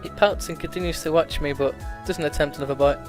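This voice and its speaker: A man's voice: on the high side, steady tone, fast talker, over music. A man hosting a let's play, reading a visual novel aloud with stumbles, self-corrections and laughs.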